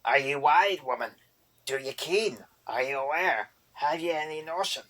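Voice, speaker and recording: Boggart: man doing a nasty little male creature, screechy, ranting, loud and rough-edged. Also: a little high, moderate pace, natural voice. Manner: screeching, ranting insults in dialect.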